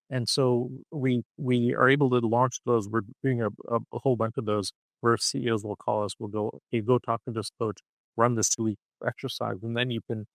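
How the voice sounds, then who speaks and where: A man being interviewed on a podcast, explaining ideas in an animated, conversational way and often checking in with "okay?" or "right?". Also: fairly low pitch, no echo, low-quality recording. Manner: punctuates points with "okay?" and "right?". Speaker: podcast guest.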